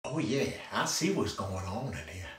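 A man's voice: on the low side, very animated, normal speed, voice pushed down. Man doing a nosy animal in an echoey space. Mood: sly, watchful. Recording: echoey, distant, noisy room sound.

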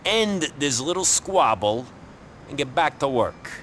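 An actor playing a sneering, world-weary middle-aged New York mobster in a video game cutscene, wisecracking and condescending. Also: male voice, big pitch swings, measured pace, a bit bright. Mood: irritated, bossy. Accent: New York area. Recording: noisy outdoor city street rumble.

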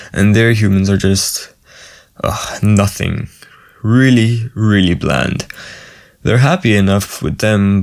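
Low, gravelly, comforting demon played by a man. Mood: warm, affectionate. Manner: soothing, casual, softly reassuring. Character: friendly, gentle male sleep paralysis demon comforting a human. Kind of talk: ASMR boyfriend roleplay.

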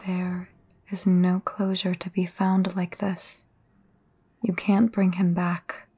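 A woman playing a cryptic, foreboding seer in an audio roleplay, with a husky, breathy voice and measured, earnest delivery. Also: fairly low pitch, completely flat delivery, warm tone, heavily acted. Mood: ominous, insistent. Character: cryptic, foreboding female seer offering grave warnings.

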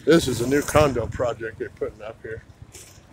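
A man's voice: medium pitch, moderate pace. A man from Idaho in the US giving laid-back, chatty commentary as he films a walk on a town roadside with a handheld phone. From United States (Idaho). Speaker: vlogger on a walking tour. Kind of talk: casual walking commentary. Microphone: handheld phone camera. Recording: outdoor phone recording, clear traffic noise. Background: passing vehicles and street ambience.

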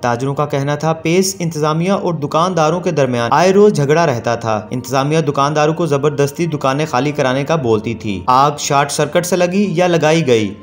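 A man's voice: fairly high pitch, normal speed, few pauses, faint music behind. A man reading a formal scripted news voice-over. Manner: formal, declaiming. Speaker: news narrator.

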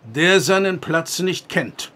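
An actor playing a stern, pious farmer father in a radio drama, gravelly and formal in speech. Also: on the high side, expressive, slow pace, sharp tone, full character voice. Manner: formal, commanding.

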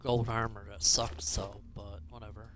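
A man's voice: fairly low pitch, flat delivery, normal speed, a bit bright, slight background noise. A man bantering casually and teasingly as he plays a roleplay game, with a sarcastic edge. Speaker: gamer in a roleplay series. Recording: game music behind the voice. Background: game music.

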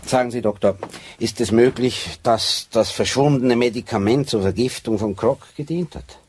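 An actor playing a shrewd, brooding police sergeant in a radio drama, terse and musing. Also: male voice, mid-range voice, moderate pace, darkish tone, breathy edge, dry recording, close to an everyday voice. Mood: probing, musing. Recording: slightly noisy radio play.

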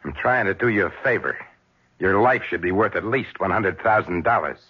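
An American actor in a 1970s radio drama playing a cool, calculating schemer with a husky voice. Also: male voice, deep voice, lively intonation, moderate pace. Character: cool, calculating former business partner, male, turned schemer. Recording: dull vintage radio recording.

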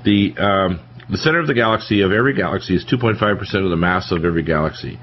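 Male radio host, lowish voice, emphatic lecturing delivery, muffled broadcast audio. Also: quick pace. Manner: emphatic, lecturing, warns about disasters. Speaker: radio talk show host. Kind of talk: talk radio discussion. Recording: dull lo-fi radio broadcast with faint hiss.

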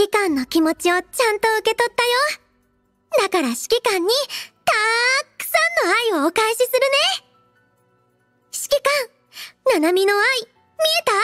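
A devoted, playful little robot girl from an anime game. Her voice is extremely high and cutesy, with animated, expressive pitch swings. The sound is dry and close. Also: no echo, heavily acted. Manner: cutesy, refers to herself in third person, expressive. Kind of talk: Japanese anime game character voice lines.

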